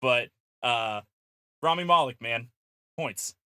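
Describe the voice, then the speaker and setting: A man's voice: mid-range voice, takes it slowly, pushed and bright, smooth, dry recording, clean studio sound. A man co-hosting a podcast, giving casual commentary in a conversational way. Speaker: podcast co-host.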